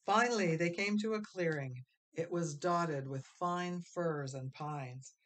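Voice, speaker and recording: A woman reading a children's story aloud as expressive scripted narration. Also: on the low side, takes it slowly. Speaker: storybook reader.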